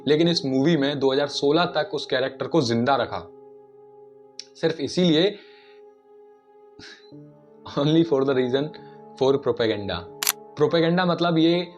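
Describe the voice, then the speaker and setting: A man speaks in a slightly high voice, explaining and arguing in a casual video-essay style. He often puts questions straight to the listener. The recording is somewhat dull and lo-fi, with music playing underneath. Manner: argumentative, poses rhetorical questions to listeners. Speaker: video commentator. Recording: dull lo-fi audio, music behind. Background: background music.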